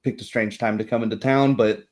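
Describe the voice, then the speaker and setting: A man's voice: fairly low pitch, steady tone, a little fast, close to an everyday voice. A man voicing a middle-aged woman innkeeper in a tabletop game, easygoing and world-weary, with a hesitant, offhand delivery. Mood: wry, easygoing.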